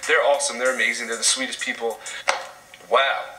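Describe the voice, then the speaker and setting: A man's voice: medium pitch, level tone, brisk, sharp tone. A young man chatting through a vlog outro, upbeat and chatty. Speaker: YouTuber. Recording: roomy, distant, music behind.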